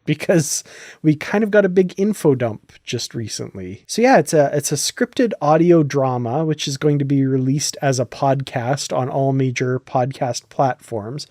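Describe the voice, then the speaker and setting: A man's voice: a little high, a little mellow, no echo, studio quality. A man hosting a podcast in a casual, chatty, enthusiastic way. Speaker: podcast host.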